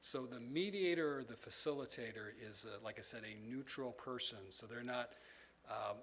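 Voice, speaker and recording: Man presenting to an audience, even-pitched, measured and explanatory, some ums, faint noise behind. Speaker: presenter from a state education agency. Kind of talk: presentation answering audience questions.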